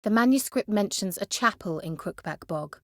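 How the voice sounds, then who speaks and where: An actress playing a self-assured, coy and haughty young sorceress in a video game, with articulate, teasing, theatrical delivery. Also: female voice, mid-range voice, lively intonation, moderate pace, no room sound, clean studio sound, natural voice. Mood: earnest, measured. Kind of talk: video game character acting.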